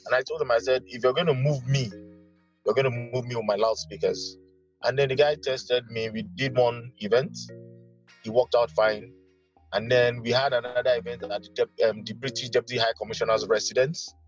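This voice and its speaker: A man with a Nigerian accent telling his story in an interview in an emphatic way, repeating phrases for effect. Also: mid-range voice. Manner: emphatic, uses repetition for effect. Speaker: interview guest, loudspeaker engineer and entrepreneur. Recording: dull lo-fi audio with music behind. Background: background music.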